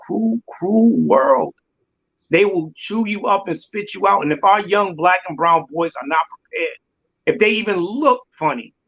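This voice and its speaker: A man's voice: high voice, bright, forceful tone, highs cut off. A man in his forties on a casual discussion panel, talking in an emphatic, preachy way and repeating words for stress. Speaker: podcast panelist.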